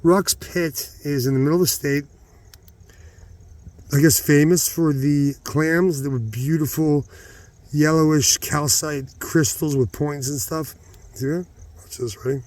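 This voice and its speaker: A middle-aged man chatting informally to viewers in a rambling vlog, halting as he thinks aloud and repeating words for emphasis. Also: fairly high pitch, fairly even intonation, a little mellow, no room sound. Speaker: vlogger, hobby rockhound.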